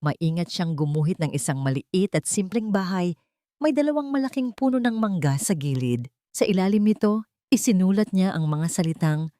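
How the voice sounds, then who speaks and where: Low-voiced woman narrating romantic drama. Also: lively intonation, normal speed, mellow, dry recording, clean studio sound. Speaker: storyteller narrator.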